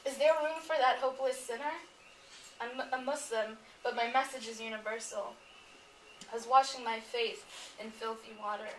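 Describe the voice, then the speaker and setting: A woman's voice: on the high side, a bit bright. A woman speaking to a gathering with a heartfelt, solemn manner, as at a poetry reading. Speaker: poetry reader at a memorial gathering. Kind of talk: reciting poems to an audience. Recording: roomy, distant mic, some background noise.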